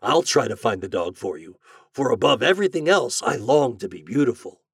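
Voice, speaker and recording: Male narrator as a poor storybook glassblower, lovelorn, plaintive, earnest, husky voice. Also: fairly low pitch, big pitch swings, normal speed, no echo, studio quality, distant mic, exaggerated voice. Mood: eager. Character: miserable, lovelorn poor glassblower, homely man.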